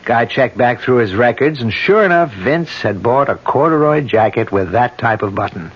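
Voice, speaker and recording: A man's voice: mid-range voice, lively intonation, moderate pace, slightly rough. An actor playing a methodical police detective explaining his case in an old radio drama, matter-of-fact. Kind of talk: 1970s radio drama acting. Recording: dull lo-fi old radio broadcast.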